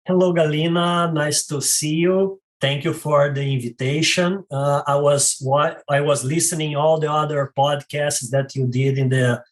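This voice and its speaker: A man in his forties from Brazil with a Brazilian Portuguese accent, a documentary filmmaker talking as a guest in an unhurried, halting way full of fillers and restarts. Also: on the high side, fairly even intonation. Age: 40s. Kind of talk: casual interview talk.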